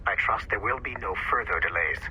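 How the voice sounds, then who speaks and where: A man playing a formal, controlling corporate overseer in a video game, stiff and deliberate. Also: fairly low pitch, sharp tone, a little hiss or hum, poor audio. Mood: stern. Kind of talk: video game character dialogue.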